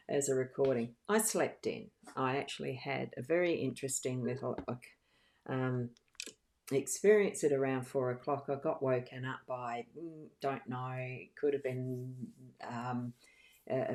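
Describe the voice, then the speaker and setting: A woman's voice: a bass voice, a little mellow. A woman live streamer and card reader talking casually and thinking aloud in a chatty, giggly, scattered way.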